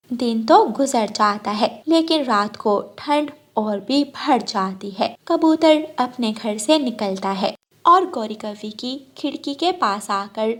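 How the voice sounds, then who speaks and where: A woman narrating a children's cartoon story in a gentle, even storytelling manner. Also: fairly high pitch.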